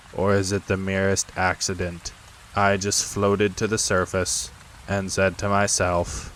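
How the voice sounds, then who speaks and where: A man voicing a gloomy, sarcastic old male donkey who talks slowly and flatly. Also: deep voice, slight background noise, rough recording. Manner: slow, flat and glum.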